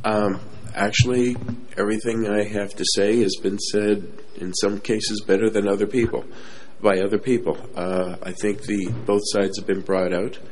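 A man's voice: fairly low pitch, level tone, normal speed, a little mellow, slight background noise, low-quality recording. A man giving unscripted remarks as a panelist.

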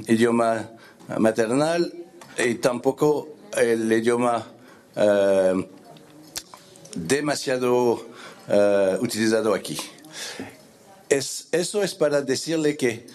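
A man with a French accent addressing a meeting, halting and searching for words as he goes. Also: mid-range voice, darkish tone. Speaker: board member speaking at a meeting. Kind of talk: meeting remarks. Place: meeting room.

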